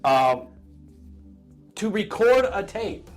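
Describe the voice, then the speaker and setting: A middle-aged American man with a somewhat high voice talks casually as a podcast co-host. His speech is informal and conversational. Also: sing-song, very slow, faint music behind. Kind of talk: casual chat.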